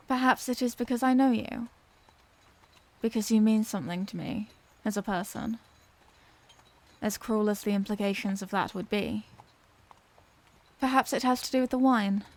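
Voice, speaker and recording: A woman's voice: mid-range voice, darkish tone, natural voice. A woman voicing an anguished, tender Victorian lady vampire in an intimate audio roleplay, speaking in formal, earnest phrases. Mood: grave, confessional. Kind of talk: scripted audio roleplay, intimate dramatic monologue.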